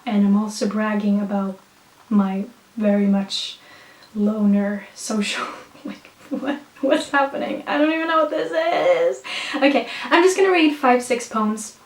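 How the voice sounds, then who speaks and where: A young woman from Sweden with a Swedish accent, chatting in a hyper, self-mocking way with many fillers and restarts, then reading her own poems slowly. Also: medium pitch. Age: young adult. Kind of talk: rambling casual chat and slow poetry reading. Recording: echoey room, voice a bit far from the mic.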